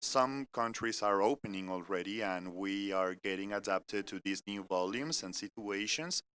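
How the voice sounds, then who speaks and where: A man from France with a French accent, speaking in English as he gives a measured, earnest business presentation that moves point by point through an agenda. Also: on the low side, a bit bright, clean tone. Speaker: corporate keynote speaker. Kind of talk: live-streamed business presentation. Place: company innovation center studio.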